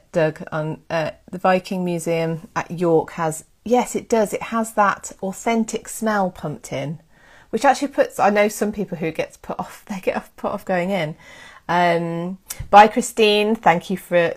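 A woman hosting a casual history live stream, chatty and excitable, thanking viewers by name. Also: fairly low pitch. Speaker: history livestream host.

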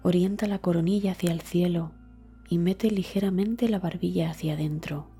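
A woman reads a scripted guided meditation as the guide, calmly and deliberately, with a soft, breathy voice and trailing words. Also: fairly low pitch, faint music behind.

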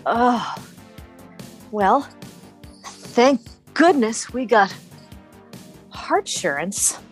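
A woman playing an earnest, halting grandchild giving a testimonial in an improvised spoof commercial. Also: on the high side, very animated, soft background music, full character voice. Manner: slow, halting. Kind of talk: improvised parody radio commercial.

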